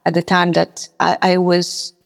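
A woman from Mauritius, a native speaker of French and Creole, telling personal stories as a guest musician in a halting, conversational way, often repeating her words. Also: lowish voice, completely flat delivery, creaky. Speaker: guest musician and singer-songwriter. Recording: long reverberant hall sound.

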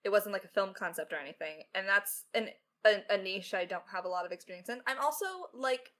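A woman with an average-pitched voice chats informally as a writer and co-host. She talks off the cuff and pokes gentle fun at herself. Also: fairly quick. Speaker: lead writer of an audio drama, co-host. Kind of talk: casual unscripted Q&A chat.

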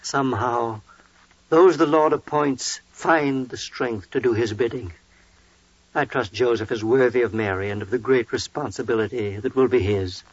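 An actor playing a devout old biblical priest in a 1940s radio drama, solemn and declamatory. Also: male voice, mid-range voice, steady tone, moderate pace, husky, no room sound, close to an everyday voice. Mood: grave, kindly. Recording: muffled 1940s radio recording.

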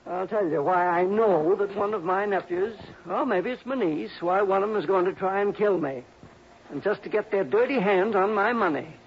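Crabby paranoid old skinflint, high gravelly voice, old radio drama with hiss. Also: male voice, normal speed. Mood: agitated, fearful. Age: older. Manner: querulous, blustering, folksy grammar. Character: cranky, suspicious old miser fearing murder. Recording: muffled, noisy old radio broadcast.

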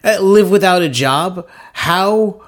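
A man with a nasal, slightly high voice, talking through money on a casual podcast. He explains with quick, opinionated enthusiasm. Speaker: podcast co-host, author.

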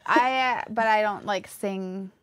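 A soft-spoken woman co-hosting a casual chat for a coffee company. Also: medium pitch, takes it slowly. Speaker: coffee company staff co-host.

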